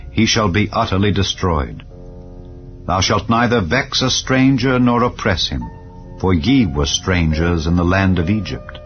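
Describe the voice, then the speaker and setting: A man narrating scripture in a solemn, measured, declarative manner, as in a dramatized Bible reading. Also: lowish voice, dull audio. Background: clear background music.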